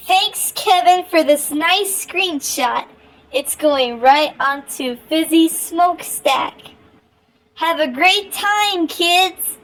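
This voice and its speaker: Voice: very high voice, a bit bright, exaggerated voice, low-quality recording. A performer voicing a chirpy feline puppet who hosts a parody children's show, talking to kids in a sing-song way.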